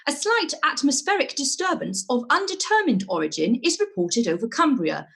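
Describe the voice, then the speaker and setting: A woman playing a radio weather announcer with a measured, formal delivery. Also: on the high side, husky, heavily acted. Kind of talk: radio drama acting.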